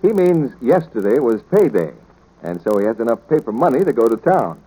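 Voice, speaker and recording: A man's voice: mid-range voice, very animated, brisk, dark tone, husky, rough recording. A male radio announcer delivering scripted 1940s announcements and sales patter. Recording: old 1940s radio broadcast.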